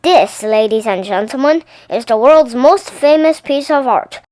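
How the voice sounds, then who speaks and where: Woman playing a high-voiced, proud museum curator. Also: animated, measured pace, darkish tone, no echo.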